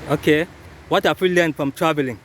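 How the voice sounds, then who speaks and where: A man's voice: on the high side, fairly quick, a little hiss or hum. A man interviewing in English, asking short questions with brief acknowledgements.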